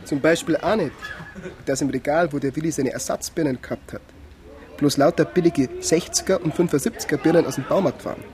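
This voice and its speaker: An actor playing a grumbling, easily offended amateur footballer and firefighter, speaking colloquial Bavarian in a naturalistic radio drama. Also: male voice, fairly high pitch, a little fast, darkish tone, lightly acted. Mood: subdued, insistent. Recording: outdoor crowd noise behind. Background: football ground crowd.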